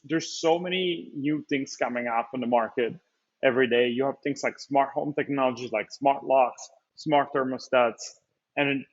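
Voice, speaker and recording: A man speaks as a startup founder in a webinar. His voice sits at an ordinary pitch and comes through slightly muffled web call audio. He talks fluently but keeps stopping to restart phrases and fill gaps with "uh" and "um.". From Italy. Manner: fluent but hesitant, frequent fillers and restarts. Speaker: startup founder and CEO, guest. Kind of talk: webinar interview, business pitch. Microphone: video call.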